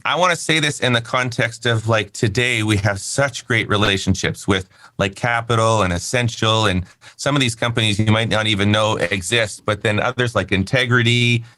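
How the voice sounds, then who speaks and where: Fifty-something guy, bright, kinda rambly, laughs a lot, dry close sound. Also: fairly low pitch, no echo. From Canada. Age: 50s. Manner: candid, rambling, laughs easily. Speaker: guest, company founder. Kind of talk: casual interview chat.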